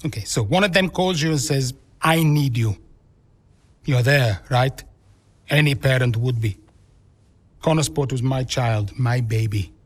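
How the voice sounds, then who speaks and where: An actor playing a devoted, earnest racing team principal, measured and emphatic, in a naturalistic game cutscene. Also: male voice, medium pitch, natural voice.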